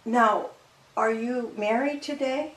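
A woman's voice: a little high, slow pace. A woman host asks guiding questions and affirms her guest with short encouraging replies. Her voice carries an echo from the room around her. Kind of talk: interview with brief affirmations.

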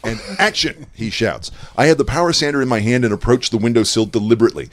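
A man co-hosting a comedy podcast, reading out his own blog in a dry, deadpan way. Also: medium pitch, fast, a little hiss or hum. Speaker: podcast co-host.

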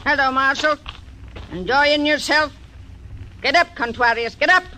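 An actress voicing a grim, hard frontier widow who gives cold, threatening orders, in 1940s radio acting style. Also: female voice, sits high, measured pace. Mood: cold, commanding. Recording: noisy old radio broadcast.

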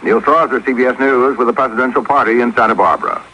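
A man's voice: mid-range voice, steady tone, quick pace, slightly rough, muffled recording. An American man delivering a scripted radio report as a news correspondent.

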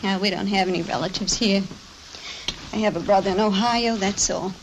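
A woman's voice: medium pitch, fairly even intonation, moderate pace, lightly acted. An actress playing a small, gray-haired laundry owner in a 1950s radio drama, a bitter, weary mother who talks plainly and complains with resignation. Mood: weary, resentful. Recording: noisy vintage radio recording with clicks.

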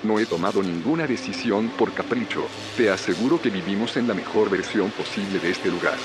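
A man's voice: on the low side, a little fast, music in the background, natural voice. An actor playing a man in authority in an audio drama. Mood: pleading. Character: man in authority pleading with a vengeful inventor.

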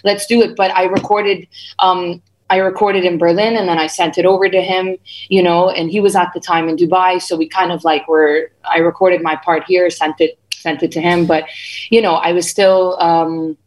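A woman's voice: lowish voice, normal speed, low-quality recording. A woman singer-songwriter being interviewed, chatting casually in an effusive, slangy way as she tells stories. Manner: effusive, slangy, animated storytelling. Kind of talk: casual chat, radio interview. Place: remote video call.

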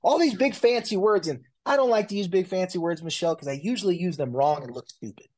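A man's voice: high voice, fast talker, darkish tone. A man in his forties, a therapist, talking loosely in a casual interview with many fillers and restarts. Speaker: guest, family and marriage therapist.

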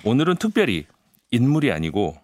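A man, a commentator on a radio show, chatting and explaining playfully, his voice slightly hoarse from a cold. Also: mid-range voice, huge pitch swings, fairly quick, a little mellow, no echo, clean studio sound. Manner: playful, jokey. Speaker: international affairs commentator, radio guest. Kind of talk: casual explanatory radio chat.

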